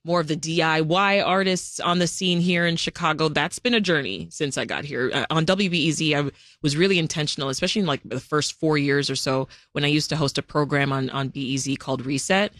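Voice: medium pitch, a little fast, fairly bright tone, no room sound. Chatty radio host from Jamaica, dry studio sound. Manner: chatty, laughs often, many fillers. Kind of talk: casual interview chat.

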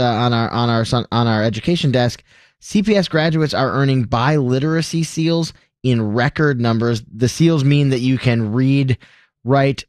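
A man's voice: medium pitch, a little mellow, dry recording. A man co-hosting a casual radio talk show from a studio, upbeat and rambling, with lots of fillers and self-corrections. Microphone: studio microphone.

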